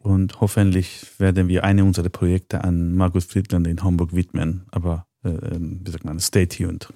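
Man, dark dry voice. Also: deep voice, monotone, moderate pace, no room sound. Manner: non-native German with grammar slips, searches for words. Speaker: podcast guest. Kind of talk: casual conversation.

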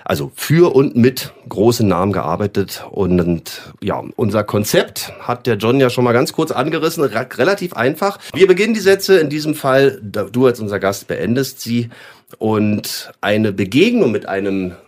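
Man, co-host, casual talk show chat, animated pitch, playful. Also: normal speed. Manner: playful, chatty.

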